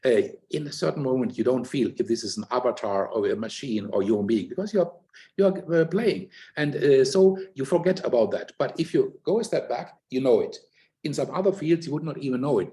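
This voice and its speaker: An older man talks through his ideas in a thoughtful, explanatory way. He pauses often with fillers. His voice is mellow, at a middle pitch for a man. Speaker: academic guest in a conversation. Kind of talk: reflective conversational talk.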